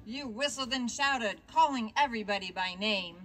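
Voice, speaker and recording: A woman's voice: on the high side, moderate pace, a bit bright, natural voice. A woman voicing a bossy, teasing puppet who prods and scolds in a noisy live comic puppet show. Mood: playful. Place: live talent show, recorded from the audience.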